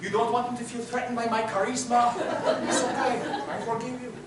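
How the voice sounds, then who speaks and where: An actor playing a vain, swaggering Latin lover, a pompous and boastful buffoon in a musical comedy, with drawn-out, half-sung delivery. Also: male voice, very high-pitched, level tone, recorded from a distance, a loud crowd, very noisy. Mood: smug, condescending. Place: theatre stage. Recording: echoey theatre recorded from afar, loud music.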